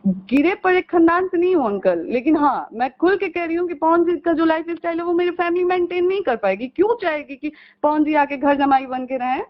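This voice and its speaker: A woman's voice: very high voice, a little fast. A young woman, arguing on a recorded phone call in an insistent, pleading way and repeating herself. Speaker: caller. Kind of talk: heated private phone conversation.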